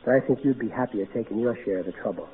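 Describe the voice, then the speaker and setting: A man's voice: medium pitch, very fast, natural voice. Actor as a folksy guy, tinny old radio. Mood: gentle, somber. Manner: folksy, ungrammatical, earnest, blustery. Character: big-hearted, folksy, exasperated working-class everyman. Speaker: actor in a radio comedy-drama. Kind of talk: old-time American radio acting. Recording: narrow tinny vintage radio broadcast, hissy.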